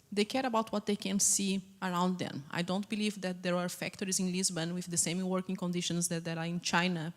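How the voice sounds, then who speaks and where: A woman from Portugal with a Portuguese accent, asking the speaker a long, earnest question from the audience. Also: lowish voice. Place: large conference hall. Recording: long hall reverb at a conference.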